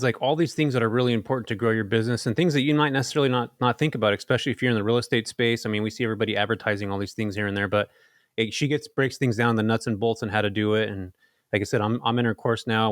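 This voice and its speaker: A man's voice: fairly low pitch, no room sound, clean studio sound. A man co-hosting a podcast, chatting casually and hyping up the guest with enthusiasm. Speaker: podcast co-host.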